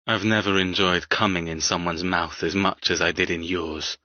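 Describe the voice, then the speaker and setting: A man playing a cold, arrogant, seductive captor in an audiobook, speaking in a deliberate, taunting manner. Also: steady tone, sharp tone, dry recording, dull audio. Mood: seductive, controlled. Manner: low, deliberate, controlled, taunting. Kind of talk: audiobook character dialogue.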